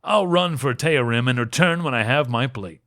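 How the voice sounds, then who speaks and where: Man acting an intense, hushed fantasy king. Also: mid-range voice, normal speed, no room sound, clean studio sound, lightly acted. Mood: stunned, resolute. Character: proud, suspicious king facing betrayal, grown man. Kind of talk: dramatic fantasy audiobook reading.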